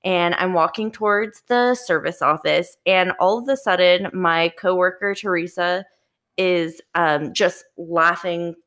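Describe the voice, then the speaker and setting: A woman's voice: lowish voice, unhurried, fairly bright tone. A woman co-hosting a podcast, telling candid stories and joking along in casual, sweary conversation. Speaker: podcast co-host. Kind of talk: casual storytelling and banter.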